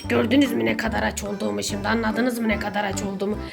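Over music, a woman puts on a greedy, pot-bellied neighbour from a kids' skit. The voice is creaky and whining, always pleading for food or muttering sneaky plans to itself. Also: mid-range voice, little pitch movement, very fast. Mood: whiny pleading. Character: whiny, greedy, ever-hungry pot-bellied neighbour intruder scheming for snacks. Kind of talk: children's pretend-play skit.

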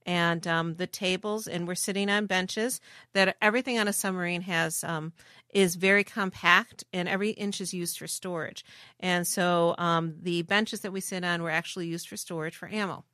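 A woman's voice: on the low side, fairly even intonation, normal speed, sharp tone, no echo, studio quality. A middle-aged woman, a former museum director, explaining things in a methodical, informative way with some fillers while seated aboard a submarine. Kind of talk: explanatory interview talk. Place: submarine galley.

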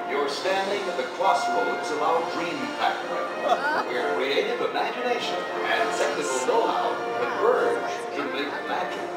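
A man narrates an exhibit show in a playful, theatrical way, his fairly high voice rising and falling a lot. It is captured from far off in a very echoey hall. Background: loud music.

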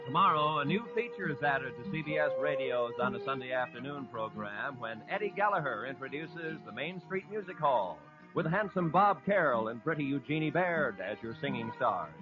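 A man's voice: mid-range voice, lively intonation, normal speed, hardly any pauses. An American man reading scripted announcements in the style of 1950s network radio. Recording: muffled old radio recording, music behind. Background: music.

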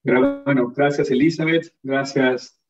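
A man's voice: mid-range voice, fairly even intonation, a little slow, lo-fi sound. A man with a Peruvian accent, a psychologist, asking interview questions over a video call, hesitant and full of fillers. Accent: Peruvian Spanish. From Peru. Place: home room, video call.

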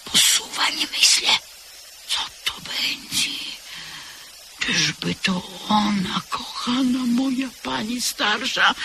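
A woman's voice: medium pitch, sharp tone, a little hiss or hum, heavily acted. An actress playing a frightened maidservant in a radio drama, telling her story in whispers that break into cries. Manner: breathless, swinging between whispers and cries. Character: frightened maidservant recounting a night of horror. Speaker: house servant. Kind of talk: 1970s-style radio drama acting.

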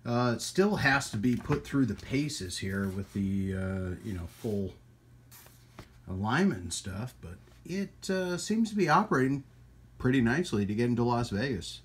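A man hosting a hobby radio channel in a casual, rambling hands-on demonstration, with folksy trailing asides and a husky voice. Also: medium pitch, very animated, normal speed. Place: indoors at a radio workbench.

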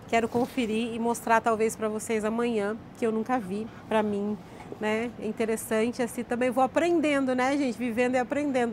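A woman's voice: fairly high pitch, a little hiss or hum. A Brazilian woman chatting to her viewers on a casual vlog, moving between outdoors and a car at a campground. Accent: Brazilian Portuguese. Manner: chatty, addresses viewers directly. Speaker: vlogger.

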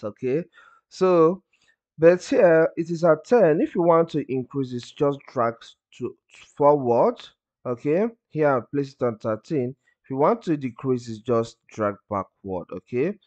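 A man guides listeners through a software tutorial one step at a time. His intonation is lively, rising and falling widely at a middling pitch, with short phrases that open on an emphatic word. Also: lo-fi sound. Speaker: tutorial presenter.

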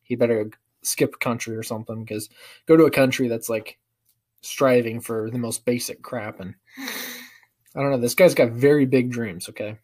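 A man's voice: mid-range voice, steady tone, moderate pace, a little mellow. A young American man giving casual, slangy commentary in an amused manner. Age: young adult. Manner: amused, slangy, chuckling through remarks. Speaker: prank-calling vlogger. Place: small living room, indoors. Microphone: webcam at arm's length.

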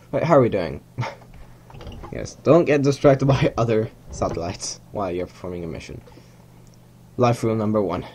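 A young Hungarian man with a fairly low voice talks through a simulated rocket launch from his desk at home. He rambles, corrects himself mid-sentence and tosses in chuckling asides, with a faint hum behind him. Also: warm tone. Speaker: gamer narrating a rocket launch. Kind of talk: casual game commentary. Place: small room at home.

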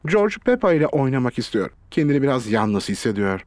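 A man narrating a children's cartoon in a clear, expressive storytelling voice. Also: mid-range voice, brisk. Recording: music playing under the voice. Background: children's cartoon music.

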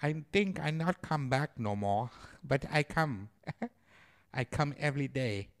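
A man's voice: mid-range voice, expressive, takes it slowly, pitched above the performer's own voice. A man voicing a cheerful guest in his late thirties who speaks broken English and giggles, sounding a little off-mic.

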